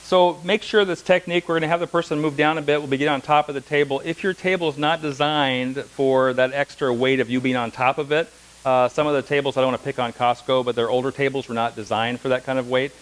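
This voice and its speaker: Middle-aged male instructor, mid-pitched, rapid, anecdote-heavy classroom talk. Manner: conversational, rambling with anecdotes and asides. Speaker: massage instructor. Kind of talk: classroom lecture with demonstrations. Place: classroom, speaking unamplified.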